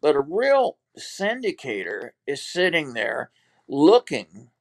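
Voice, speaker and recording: A man's voice: a little high, fairly bright tone. An older man with a Texas accent, a former TV producer, recalling his career in an interview with many fillers and restarts. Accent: Texan. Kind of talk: reflective interview talk.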